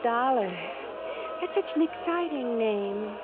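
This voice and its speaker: Flirtatious radio society heiress. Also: female voice, a little high, lively intonation, takes it slowly. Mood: gushing. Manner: breezy, coquettish. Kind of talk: 1950s radio drama acting. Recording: tinny old radio, music behind.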